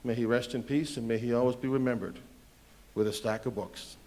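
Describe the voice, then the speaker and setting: A man giving a heartfelt spoken tribute at a ceremony, hesitant at times with small pauses. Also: medium pitch, fairly even intonation, dark tone, slight background noise.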